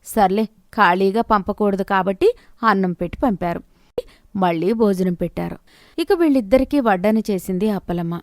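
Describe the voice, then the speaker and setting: A female narrator tells a scripted moral tale. Her voice sits at a medium pitch, and the recording is dry, with hardly any room sound. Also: moderate pace, no room sound.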